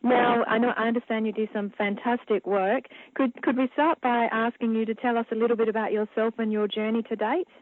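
A woman's voice: fairly high pitch, little pitch movement, fairly quick, few pauses, no room sound. A woman working as a radio interviewer, introducing and questioning a guest in a gracious, polite manner. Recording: phone-like narrow radio sound.

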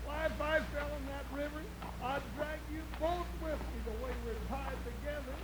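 Through clear old-radio noise comes a big comic sidekick from a western radio play. His voice is extremely high for a man. He grumbles and frets in a folksy, excitable American drawl. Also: moderate pace. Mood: nervous complaining. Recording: noisy old radio recording.

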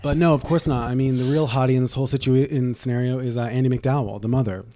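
A man's voice: mid-range voice, steady tone, quick pace, dark tone. A man co-hosting a podcast, chatting casually in a teasing, rambling way with lots of restarts. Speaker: podcast co-host. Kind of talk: casual gossipy chat.

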